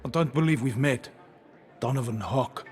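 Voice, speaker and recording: An actor playing a suspicious, wealthy male crime boss in a video game, measured and falsely courteous. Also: mid-range voice, darkish tone, soft background music, close to an everyday voice. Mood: coolly courteous. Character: smooth, suspicious wealthy crime-boss host, coolly menacing. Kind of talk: video game villain acting.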